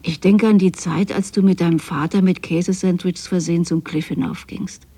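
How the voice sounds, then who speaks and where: Husky, pleading, lonely mother. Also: on the low side, steady tone, moderate pace, mellow. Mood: tender, wistful. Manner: tender, pleading, plaintive. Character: lonely, possessive, doting widowed mother pining for her absent son. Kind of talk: intimate radio drama monologue, spoken as a letter.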